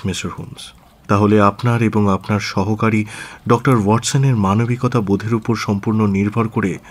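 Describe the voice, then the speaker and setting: An actor playing an aristocratic government minister in a radio drama, formal, earnest and deliberate, with hesitant pauses. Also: male voice, lowish voice. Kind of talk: Bengali radio drama acting.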